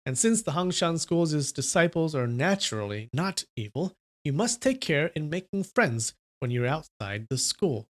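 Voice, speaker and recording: A man voicing a calm, authoritative male leader of a martial arts school, measured and formal, in a story. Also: fairly high pitch. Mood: announcing.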